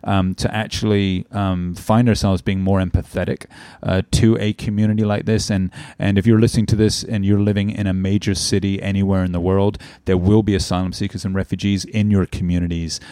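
Male podcast host, middle-aged, very low mellow voice, earnest, lots of ums, dry sound. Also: flat delivery, fairly quick, clear, resonant tone, no room sound. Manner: earnest, heavy with "um" and "uh". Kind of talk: podcast intro and interview.

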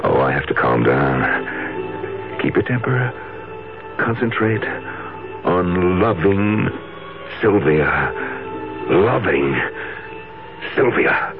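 Unhinged husband in an old radio play, slow halting delivery, pitch all over the place, muffled with music. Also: male voice, sing-song, heavily acted. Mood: brooding. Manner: theatrical, halting, intense. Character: volatile scheming husband driven to murderous rage and guilt. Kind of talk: 1970s radio drama acting.

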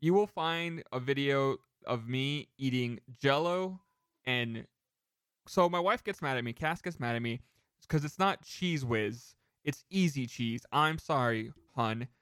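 A man co-hosting a casual podcast, telling rambling, animated anecdotes with some casual swearing. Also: medium pitch, clean tone, no echo, clean studio sound. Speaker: podcast co-host.

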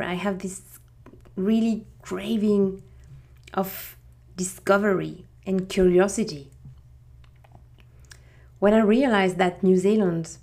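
A woman's voice: mid-range voice, darkish tone. Middle-aged french woman, french accent, takes her time, reflective, restarts her sentences a lot. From France. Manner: unhurried, reflective, with frequent restarts. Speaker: podcast host. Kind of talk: personal storytelling podcast.

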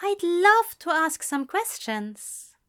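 A woman puts on a prim, fussy gentleman whose voice rises high and lilting. He speaks with a polite, precise, faintly indignant primness and light, lively phrasing. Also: takes it slowly, exaggerated voice. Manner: prim, lilting, higher and brighter.

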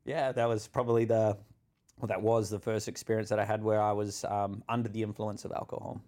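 A man's voice: on the low side, little pitch movement, fairly quick, warm tone. A man, a podcast guest telling personal stories in a rambling way, laughing as he goes. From New Zealand. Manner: rambling, laughs through his stories, many fillers. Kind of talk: candid personal storytelling.

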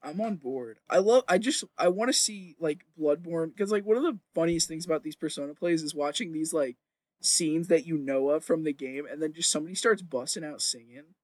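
A man's voice: a little high, a little fast, no echo. A man chatting casually and enthusiastically about games, with loose, informal phrasing. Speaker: podcast co-host.

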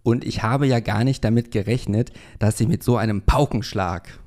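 A man's voice: lowish voice, normal speed, a little mellow. A man hosting a casual podcast interview, chatty, with many fillers and self-corrections. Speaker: podcast host. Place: room in a Berlin flat.

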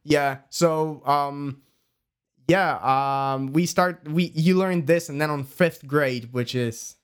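A man's voice: fairly high pitch, takes it slowly, smooth. A young man in his early twenties from Bulgaria, whose first language is Bulgarian, chatting casually as a guest in a loose, rambling way and laughing easily. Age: early 20s. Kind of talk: casual chat.

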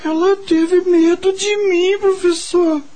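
A woman playing the gentle, forgiving spirit of a young boy, earnest and childlike, in a radio drama. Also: very high voice, level tone, takes it slowly. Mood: earnest, concerned. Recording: faint music under the voice.

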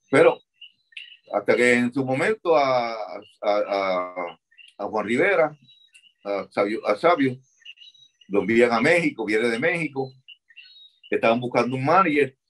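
A man's voice: medium pitch, a bit bright, husky, low-quality recording. An older man, a former wrestling manager answering interview questions and repeating words as he recalls.

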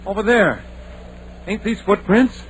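Nervous rustic sidekick, pleading and superstitious, higher male pitch, vintage 1930s radio sound. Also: unhurried. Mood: uneasy. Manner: nervous, hesitant, pleading, folksy. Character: fearful, superstitious rustic townsman tagging after the doctor. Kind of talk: 1930s radio drama acting. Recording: noisy old 1930s radio recording.

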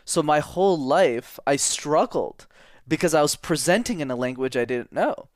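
A man's voice: fairly high pitch, lively intonation, normal speed, no echo, clean studio sound. A young man from Montreal, a public speaking coach, talking energetically and directly in an interview, often repeating words for emphasis. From Montreal, Canada. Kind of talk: casual interview talk.

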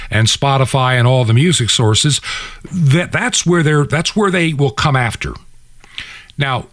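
A man's voice: mid-range voice, fairly bright tone, no echo. Emphatic male talk radio host, dry sound. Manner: emphatic, conversational, rhetorical asides. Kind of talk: opinionated political radio monologue.

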